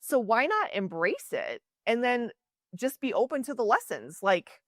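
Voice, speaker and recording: A woman's voice: on the high side, sing-song, measured pace, no echo, clean studio sound. A woman hosting a podcast interview, talking in an earnest, emphatic and reflective way. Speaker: podcast host. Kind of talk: conversational interview.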